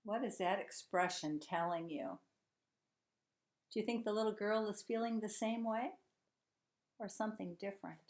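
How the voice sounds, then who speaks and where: A woman's voice: mid-range voice, moderate pace, pauses often. A woman teacher reading a children's storybook aloud, encouraging and putting questions to her young listeners. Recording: echoey room.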